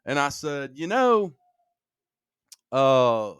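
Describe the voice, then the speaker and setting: A man's voice: mid-range voice, clean tone, no echo, studio quality. A man from Alabama, a podcast guest talking casually, often restarting his sentences and telling stories with energy. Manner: halting, with many restarts and fillers; lively storytelling. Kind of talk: casual conversation.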